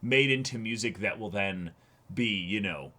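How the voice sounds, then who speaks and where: A young man co-hosting a casual discussion show, deliberate and thinking aloud as he comments. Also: on the low side, pushed and bright. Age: young adult. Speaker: co-host of an anime reaction show. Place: small indoor room, seated before a backdrop.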